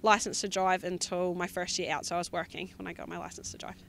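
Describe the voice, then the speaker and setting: A woman's voice: on the low side, flat delivery, fast, creaky, a bit bright, a little hiss or hum. A young woman in her twenties with a New Zealand accent, a junior harness driver giving upbeat, modest interview answers with plenty of ums. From Southland, New Zealand.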